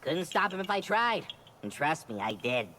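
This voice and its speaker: A woman's voice: sits low, very animated, normal speed, a little hiss or hum. A woman voicing a small, cocky, chatty forest spirit sidekick in a video game, with slangy, wheedling talk and stammers. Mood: cocky, boastful. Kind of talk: animated video game cutscene acting. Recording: music loud behind the voice. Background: game soundtrack music.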